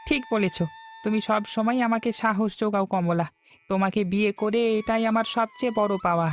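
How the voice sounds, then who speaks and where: A man's voice: high-pitched, fairly even intonation, brisk, soft background music, natural voice. An actor playing a weary, poor middle-aged fisherman, a worried and devoted husband, in a dramatic cartoon folk tale. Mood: reassuring.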